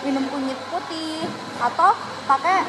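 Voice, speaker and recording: Young woman, twenties, high pitch; friendly peer educator, busy noisy crowd behind. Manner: friendly, quick, explaining with fillers. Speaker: pharmacy student educator. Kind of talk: casual health outreach chat. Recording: phone video with crowd noise.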